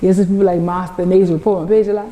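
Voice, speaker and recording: A woman from New Orleans with a thick New Orleans accent talks quickly and casually, slipping into other people's voices as she tells her stories. Her tone is mellow and dark. The sound is roomy, with a long reverb tail. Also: on the low side, steady tone. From New Orleans, USA. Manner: storytelling, quotes others in dialogue. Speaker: guest, social media personality. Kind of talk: casual interview talk.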